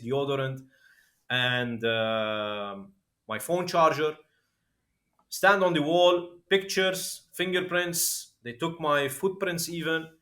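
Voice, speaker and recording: A man from Syria with an Arabic accent and Arabic as his first language, a podcast guest telling a personal story vividly and acting out the dialogue, with frequent filler pauses. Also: medium pitch, bright, forceful tone. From Syria, born in Kuwait.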